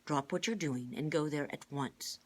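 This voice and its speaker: A woman reads as a kindly gentleman in charge in a storybook. The voice is low and husky, courteous, with a calm, deliberate manner. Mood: calm, serious.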